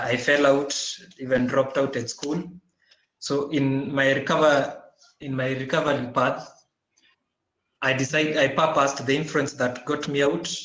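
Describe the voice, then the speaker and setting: A man from Kenya speaking as a panelist and social entrepreneur, halting, with many restarts and formal wording. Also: mid-range voice, a bit bright, reverberant, rough recording. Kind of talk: conference panel talk.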